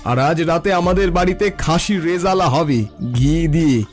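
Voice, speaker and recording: A man plays a smug, idle, taunting man of means in a cartoon folk tale. He drawls slowly and condescendingly in a fairly high male voice. Music plays behind him. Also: natural voice. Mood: mocking.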